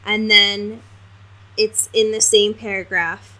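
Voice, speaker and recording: A woman's voice: medium pitch, little pitch movement, slow pace, bright, forceful tone, rough recording. A woman co-hosting a podcast, casually discussing a book in a wry, informal way. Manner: wry, informal, peppered with mild swearing. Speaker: podcast co-host.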